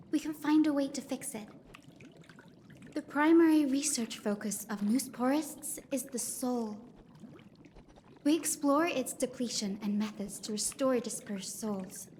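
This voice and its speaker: A woman's voice: high voice, a little mellow, a little hiss or hum. A woman playing a polite, earnest young female scholar in a video game. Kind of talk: scripted game voice acting.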